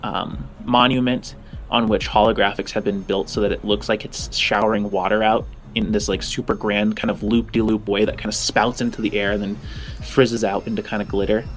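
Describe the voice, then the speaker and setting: A man improvising narration as game master of a tabletop game, in a halting, informal, descriptive way. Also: on the low side, flat delivery, a little hiss or hum.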